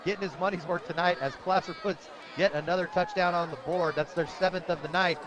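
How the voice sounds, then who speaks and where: An American man doing live radio play-by-play of a high school football game, in clipped, energetic present-tense calls. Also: a little fast. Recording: loud crowd behind outdoor broadcast. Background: stadium crowd.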